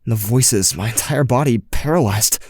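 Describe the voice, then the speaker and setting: A man's voice: medium pitch, moderate pace, darkish tone, husky, no echo, close to an everyday voice. A man playing an anxious, skeptical teenage boy with psychic powers in an audio drama, with a hesitant, wry delivery. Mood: hesitant, confiding. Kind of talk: audio drama dialogue and first-person narration.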